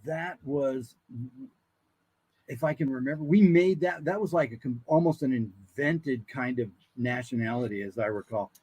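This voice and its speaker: A man chatting casually as a guest voice actor, explaining his craft in an animated, loose way. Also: medium pitch, normal speed, slightly rough, no echo, low-quality recording. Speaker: character voice actor, podcast guest. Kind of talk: casual interview chat.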